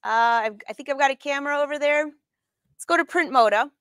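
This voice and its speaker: Middle-aged female craft host for a casual live demo; fairly high voice, chatty with viewers, dry room sound. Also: fairly quick, a bit bright, no room sound. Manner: chatty, informal, peppers talk with questions to viewers. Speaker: host of a live sewing lesson. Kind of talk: casual live craft demonstration with audience chat. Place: sewing studio room, indoors.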